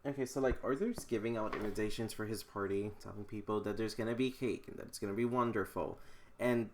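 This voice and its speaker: Low-voiced man in playful, slangy chat. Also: fairly even intonation, quick pace, slight background noise. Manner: playful, incredulous commentary with slangy asides. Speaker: book vlogger. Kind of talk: casual reaction read-along chat.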